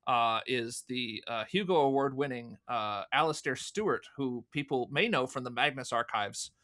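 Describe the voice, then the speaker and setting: A man's voice: mid-range voice, normal speed, sharp tone. A man speaking as an interview guest, explaining things in detail and with enthusiasm in a conversational way. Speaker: guest, fan audio drama producer.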